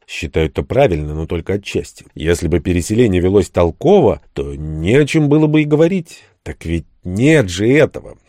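A man's voice: lowish voice, warm tone, dry recording, clean studio sound. A man reading first-person fiction as an audiobook narrator, in a colloquial, wry storytelling manner.

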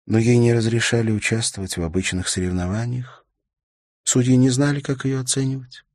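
A man's voice: medium pitch, fairly even intonation, fairly quick, darkish tone, no echo, clean studio sound, pitched above the performer's own voice, lightly acted. A man voicing a grieving, ailing older woman in an audiobook, bitter, tearful and pleading. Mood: wistful grief.

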